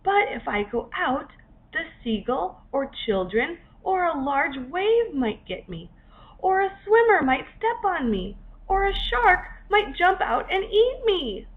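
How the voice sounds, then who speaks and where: A woman's voice: very high-pitched, sing-song, slow, pitched above the performer's own voice, full character voice. A woman voicing a timid, fearful little male crab in a children's storybook, fretting anxiously to himself. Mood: anxious dread. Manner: anxious, fretful listing of worries. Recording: dull lo-fi recording, faint noise.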